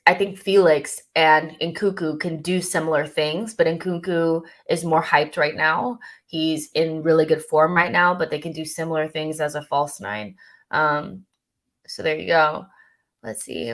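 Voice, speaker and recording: A woman's voice: sits low, a bit bright. A young woman hosting a live stream, reading out chat questions and answering them in a casual, thinking-aloud way full of ums and restarts.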